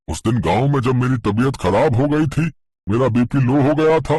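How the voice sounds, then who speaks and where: A man's voice: mid-range voice, darkish tone, husky, dry recording. A man voicing a kindly, firm older father-in-law, hearty in manner, in a family moral story.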